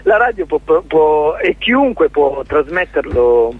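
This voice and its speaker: A man being interviewed as a guest about his theatre collective, talking in a halting way with many restarts and repeated words. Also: a little high, very animated, no echo. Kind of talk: casual radio interview. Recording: tinny phone line.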